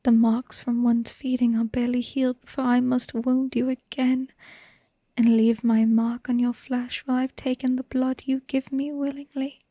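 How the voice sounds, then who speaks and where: A woman playing a devoted, tender and haunted vampire wife in a hushed, breathy, intimate ASMR bedtime monologue. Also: high-pitched, little pitch movement, no room sound. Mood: tender, melancholy. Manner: slow, tender, halting delivery with lingering pauses.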